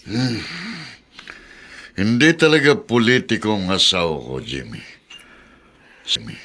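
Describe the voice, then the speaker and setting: A man playing a wounded, brooding husband and mayor in a Filipino radio drama, deliberate and weighty, with a Filipino accent. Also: on the low side, huge pitch swings, sharp tone, exaggerated voice. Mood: bitter, hurt.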